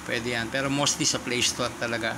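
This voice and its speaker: A man's voice: medium pitch, flat delivery, fast, noisy background. A Filipino man, native in Tagalog, talking casually and politely with hesitations as he demonstrates an app. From Philippines. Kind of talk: casual tutorial talk and radio-style chat.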